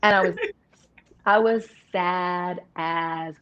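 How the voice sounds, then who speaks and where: A woman in her thirties with a deep voice, speaking openly and at length as an interview guest, with many restarts. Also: fairly even intonation, slow pace, clean tone. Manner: open, rambling, restarts often. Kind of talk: candid personal conversation. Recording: dull lo-fi audio.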